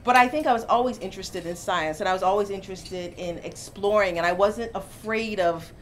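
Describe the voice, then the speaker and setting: A middle-aged woman born in Jamaica, a professor answering interview questions in a lively, storytelling way. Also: mid-range voice, brisk, a little hiss or hum. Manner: animated storytelling, gets louder and laughs when amused. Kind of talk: conversational interview. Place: bookstore, seated in armchair indoors.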